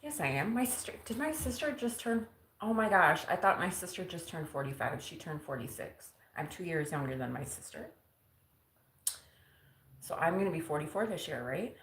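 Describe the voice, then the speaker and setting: Woman, 40s, low pitch, casual self-teasing live-stream talk, roomy faint-ambience sound. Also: lively intonation, normal speed, a bit bright, a little hiss or hum. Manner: chatty, self-teasing, laughs often. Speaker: vlogger. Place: home kitchen.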